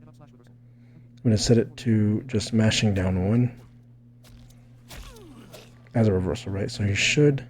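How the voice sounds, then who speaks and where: A man's voice: on the low side, slow pace, long pauses, darkish tone. A man giving a casual fighting game tutorial, thinking aloud in a rambling way and often checking in with "right?". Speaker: gaming video creator explaining techniques.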